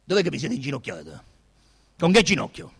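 An actor playing a stern, brooding police inspector who puts blunt, probing questions in a radio drama. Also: male voice, on the high side, huge pitch swings, fairly quick, gravelly, husky, big-room sound, heavily acted. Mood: stern, warning. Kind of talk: dramatised radio literary reading.

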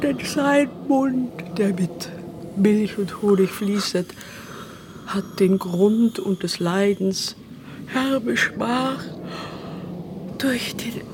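An actress playing a devout, sorrowful believer, reciting verse solemnly in a German radio play. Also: female voice, mid-range voice, extremely slow, pauses often, noisy background. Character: devout, sorrowful female believer witnessing the Passion.

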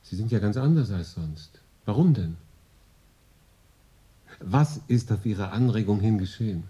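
An actor playing a courteous, reserved consul in a radio drama, with a polite, composed and formal manner. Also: male voice, lowish voice, animated, a little slow, mellow, dull audio. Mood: surprised, respectful.

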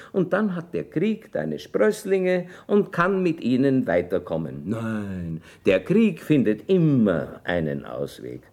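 An actor playing a boastful, cynical army chaplain in a radio drama, pompous and declamatory. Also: male voice, mid-range voice, vocal fry, a little mellow, exaggerated voice. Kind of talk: stage-style German radio drama acting.

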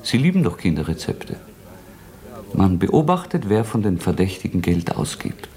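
Young murder suspect, brooding, taunting, wildly swinging pitch, theatrical radio drama delivery. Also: male voice, big pitch swings, normal speed. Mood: taunting, provocative. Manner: theatrical, mocking, swinging between hushed and outbursts. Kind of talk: German radio drama acting.

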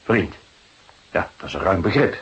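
Male detective, radio drama: lowish voice, measured, probing, dryly ironic, slightly dull recording. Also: sing-song, fairly bright tone, a little hiss or hum. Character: shrewd, dry, measured male police detective. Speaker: police detective. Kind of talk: Dutch radio drama acting.

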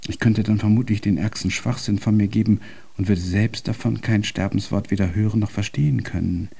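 An actor playing a deaf, cantankerous old composer in a German radio drama, speaking in an ornate, pompous and convoluted way. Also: male voice, lowish voice, fairly even intonation, brisk, vocal fry, mellow, slightly rough. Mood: brooding, intense.

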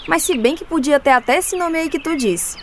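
A woman's voice: high-pitched, moderate pace, slight background noise, natural voice. A woman playing an outspoken, savvy farmer in a radio drama, with a Northeastern Brazilian accent and a folksy, emphatic delivery. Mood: explaining, firm. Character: savvy, outspoken rural farmer woman named Rosa, explaining firmly.

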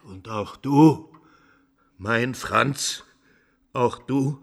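An actor playing a frail, anguished old father in a German radio drama, halting and plaintive. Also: mid-range voice, vocal fry, heavily acted. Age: older.

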